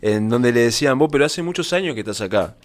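A man's voice: mid-range voice, animated, fast. A man co-hosting a casual talk show, talking hesitantly with many fillers and restarts.

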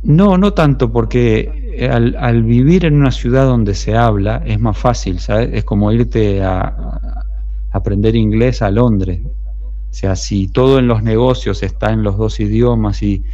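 A middle-aged man from Uruguay with a Uruguayan Spanish accent, a musician talking through his story in a relaxed, thoughtful interview, often restarting his sentences. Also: fairly low pitch, a little slow, no room sound. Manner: thoughtful, rambling with many restarts. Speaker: street musician and songwriter. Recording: dull muffled sound.

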